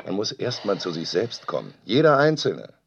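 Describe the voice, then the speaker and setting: An actor playing a defensive, volatile sound artist in naturalistic radio drama, argumentative and given to ranting and swearing. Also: male voice, fairly low pitch, huge pitch swings, normal speed, creaky, noisy background, heavily acted. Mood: argumentative, earnest. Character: defensive, volatile male sound artist who records everything. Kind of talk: naturalistic radio play dialogue. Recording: dull, lo-fi home tape sound.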